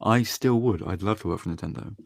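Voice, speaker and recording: A man co-hosting a casual podcast, easygoing and agreeable, with a raspy voice today. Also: fairly low pitch, brisk, dry recording. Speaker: podcast co-host, musician and producer. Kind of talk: casual chat.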